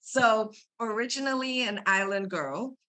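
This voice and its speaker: A woman's voice: a little high, a little slow, pushed and bright. Woman from Trinidad and Tobago, podcast guest. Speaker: podcast guest, HR consultant and coach. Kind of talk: conversational interview, introducing herself.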